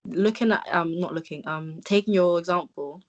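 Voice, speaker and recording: A woman, a podcast guest, speaking hesitantly and often trailing off into a quieter voice. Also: lowish voice, normal speed, muffled recording. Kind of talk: casual conversational interview.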